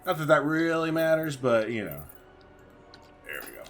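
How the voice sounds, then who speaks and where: A man's voice: fairly high pitch, sing-song, normal speed. A man giving casual let's-play commentary as a gaming video host, thinking aloud in informal, slangy language.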